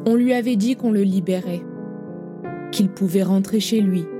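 A woman's voice: mid-range voice, normal speed. Woman narrating solemnly over piano. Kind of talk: scripted audio drama narration. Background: piano music.

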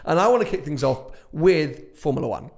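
Hoarse, gravelly man hosting a podcast. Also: on the high side, expressive, normal speed. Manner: chatty, self-mocking, laughs often. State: ill, hoarse. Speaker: podcast host. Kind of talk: casual podcast chat. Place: unfinished office showroom, indoors.

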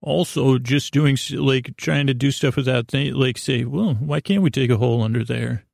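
A man hosting a sleep podcast in a creaky, soothing voice, meandering and halting through a lulling bedtime monologue full of digressions. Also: mid-range voice, darkish tone, dry recording, studio quality. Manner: meandering, halting, over-talking with many digressions. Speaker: podcast host.

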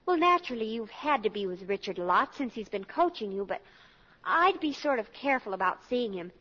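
High-pitched eager teenage girl. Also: sing-song, fairly quick, bright, forceful tone, full character voice. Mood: confiding. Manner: earnest, girlish, chatty. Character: eager, lovestruck seventeen-year-old college daughter. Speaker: actress in a radio drama. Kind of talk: 1940s radio acting. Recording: dull vintage radio recording.

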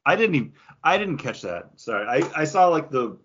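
A man's voice: on the high side, sing-song, moderate pace, a bit bright, highs cut off, slight background noise. A man co-hosting a podcast, talking in casual conversation as he shares his opinions. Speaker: podcast co-host reviewing comics. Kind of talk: casual chat.

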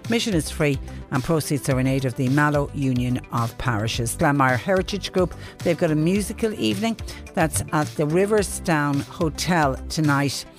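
A woman's voice: low-pitched, normal speed, faint music behind. A woman with a Cork Irish accent presenting local radio, reading out notices and listener messages. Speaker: radio host.

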